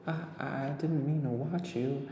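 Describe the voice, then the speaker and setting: A man's voice: steady tone, measured pace, background noise, lightly acted. A man voicing an eager, stubborn ten-year-old girl. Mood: flustered. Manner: high, eager, stammering, insistent. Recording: roomy long reverb, faint ambience.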